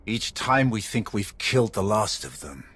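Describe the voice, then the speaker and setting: Very raspy, ominous warrior. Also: male voice, lowish voice, very animated, normal speed, exaggerated voice. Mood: grim, urgent. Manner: grim, forceful. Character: intense, ominous, battle-worn male dark warrior. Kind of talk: video game voice acting.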